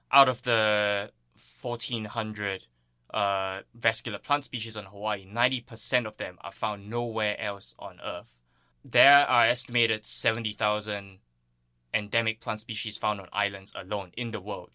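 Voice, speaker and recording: A man's voice: fairly low pitch, pushed and bright, no echo. A man from Singapore giving earnest, thoughtful explanations as an interviewed plant ecologist.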